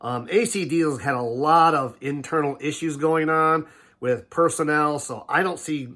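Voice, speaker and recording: A man's voice: on the high side, moderate pace, bright, forceful tone. An older man hosting a casual paintball preview, sounding a bit nasal from a head cold and rambling with fillers and restarts. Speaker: host. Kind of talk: casual sports preview talk.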